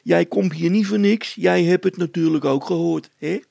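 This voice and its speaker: A cheeky storybook poacher boy, acted out by a man, pitched well up high. He is boastful and defiant in a colloquial way, and the sound is close and dry. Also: normal speed, gravelly, warm tone, no room sound, full character voice. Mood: boastful, confiding. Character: cocky, mocking young poacher boy.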